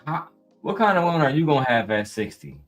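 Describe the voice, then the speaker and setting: A man co-hosts a podcast in casual banter. He is blunt and joking, with slang and swearing, and his pitch is lively and moves a lot. Faint music sits behind him. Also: quick pace. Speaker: podcast co-host.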